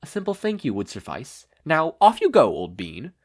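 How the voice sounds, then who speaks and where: A man voicing a courteous, theatrical gentleman hero from a story, with florid old-fashioned phrasing. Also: on the high side, huge pitch swings, moderate pace, voice pushed up. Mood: grandly magnanimous.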